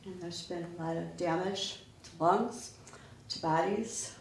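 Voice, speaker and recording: Slow, gravelly older woman in a classroom. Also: on the low side. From Waukegan, Illinois. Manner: slow, halting, with soft laughs. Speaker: guest, environmental campaigner. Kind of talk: classroom self-introduction. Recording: echoey classroom from far off, faint noise.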